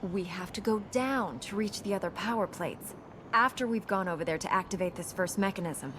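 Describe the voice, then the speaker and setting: An actress playing a serious, resolute young princess in a video game, measured and matter-of-fact. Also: female voice, medium pitch, natural voice. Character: solemn, resolute young princess guiding an adventurer. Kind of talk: video game character acting. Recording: noisy game capture with ambience.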